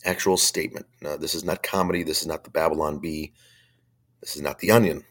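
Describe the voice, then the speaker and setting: Hoarse low-voiced male radio host. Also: steady tone, brisk, fairly bright tone. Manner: blunt, opinionated, conversational. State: hoarse from a chest cold. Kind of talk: faith-based talk radio commentary.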